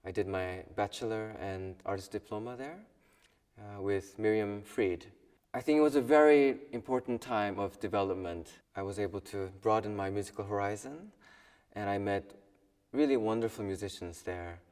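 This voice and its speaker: A young man in his twenties from South Korea, a violin student, speaking thoughtfully with pauses in an interview. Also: on the low side, clear, resonant tone, long reverb tail, distant mic. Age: 20s. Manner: thoughtful, soft-spoken with pauses and small laughs. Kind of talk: personal documentary interview.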